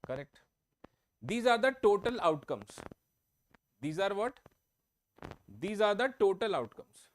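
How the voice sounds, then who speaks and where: Man, slightly high voice, online math lesson, repeats and checks in with students. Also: sing-song, takes it slowly, long pauses, low-quality recording. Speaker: math teacher. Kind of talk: online exam-prep class. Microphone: own microphone, online class.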